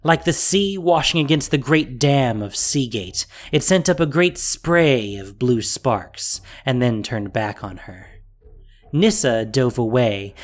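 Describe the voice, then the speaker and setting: Guy narrating a fantasy story, midrange voice, really expressive, dramatic delivery. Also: mid-range voice.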